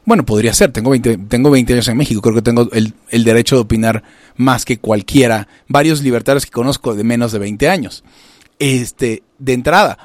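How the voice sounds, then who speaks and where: Male radio host from venezuela, middle-aged, halting delivery, dry close sound. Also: medium pitch, no echo. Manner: halting, many restarts and fillers. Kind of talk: casual talk radio discussion.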